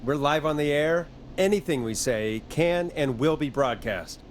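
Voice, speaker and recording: An actor playing a wry, easygoing late-night radio host in a video game. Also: male voice, on the high side, lively intonation, unhurried, slight background noise. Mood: urgent.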